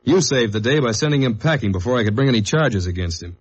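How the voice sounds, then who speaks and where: A man acting as a steady, dogged government investigator and narrator in a mid-century radio detective drama. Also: medium pitch, quick pace, husky. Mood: accusing. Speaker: lead actor and narrator of a radio detective serial. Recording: dull old radio recording with faint music.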